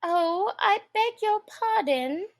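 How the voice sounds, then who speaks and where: A polite, chatty little girl from a storybook, voiced by a woman. The voice sits very high with a lilting, animated melody. She is eager and apologetic, rambling on in an unhurried way. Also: heavily acted. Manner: apologetic, soothing, dreamy rambling. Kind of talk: storybook character voice.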